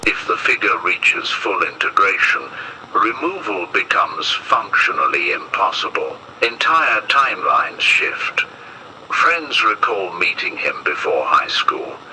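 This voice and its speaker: Male narrator for analog-horror warning tape: very low voice, grave, measured, lively pitch movement. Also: slight background noise, poor audio.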